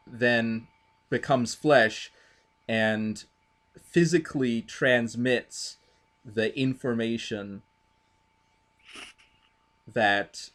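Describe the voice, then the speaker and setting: A man explaining theology thoughtfully in conversation, deliberate and halting, with formal wording. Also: on the low side. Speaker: interviewer.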